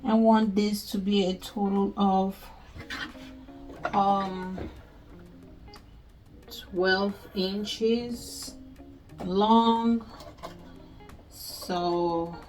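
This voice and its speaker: A woman's voice: mid-range voice, steady tone, very slow, long pauses, darkish tone, faint music behind. Nigerian woman chatting through a sewing tutorial. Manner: chatty, thinking aloud while working. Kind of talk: casual step-by-step crafting tutorial.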